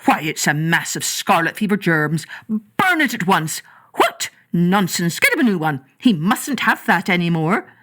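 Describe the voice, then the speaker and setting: A woman's voice: on the low side, very animated, unhurried, fairly bright tone, heavily acted. A woman voicing a curt, dismissive male doctor in a children's storybook. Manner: curt, commanding.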